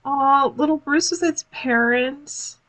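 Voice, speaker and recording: A woman giving casual, expressive live reaction commentary on a cartoon as a video host, full of exclamations. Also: high voice, slow pace, over music, rough recording. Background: cartoon soundtrack playing.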